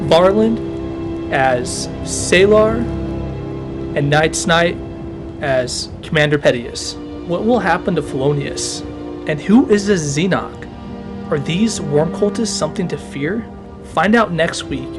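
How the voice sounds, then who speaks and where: Slow, higher-pitched male drama announcer. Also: animated, music in the background. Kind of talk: scripted episode outro and credits.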